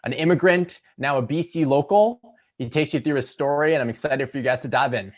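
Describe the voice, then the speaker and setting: A man's voice: mid-range voice, fairly quick. A young man hosting a casual startup podcast, talking in an upbeat, enthusiastic way. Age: young adult. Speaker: podcast host.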